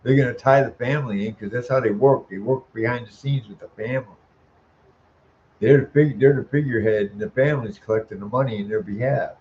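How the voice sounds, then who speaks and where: A man delivering a profane, heated rant to the camera, tagging his sentences with "man" and stalling on long "uh" sounds. His voice sits at an ordinary middle pitch. The recording is a little dull and lo-fi, with a faint hum of the room behind him. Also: a little mellow. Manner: tags sentences with "man", chuckles, stalls on drawn-out "uh". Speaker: vlogger. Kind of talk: profane ranting monologue.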